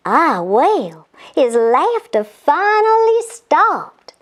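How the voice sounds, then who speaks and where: A woman voicing a kindly, helpful female storybook butterfly in a drawn-out, sing-song way. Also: high voice, sing-song, full character voice. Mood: thoughtful, advising.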